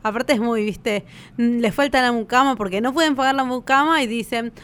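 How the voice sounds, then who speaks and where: A woman's voice: fairly high pitch, brisk, a bit bright. A woman with a Rioplatense Argentine accent chatting casually as a podcast co-host. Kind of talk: casual chat.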